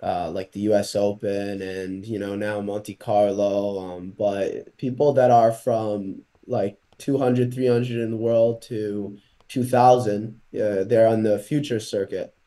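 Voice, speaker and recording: Young man from Portugal, mellow and hesitant. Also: lowish voice, slow. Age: young adult. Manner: relaxed, hesitant, many "um"s. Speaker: college tennis player guest. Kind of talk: casual video-call interview. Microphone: laptop mic on video call.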